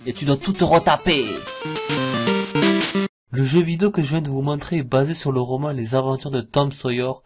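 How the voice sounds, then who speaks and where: A man hosting an informal cartoon review, with a jokey, mocking and slangy way of talking. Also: on the high side. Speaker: video host. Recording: voice-over with music behind. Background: music.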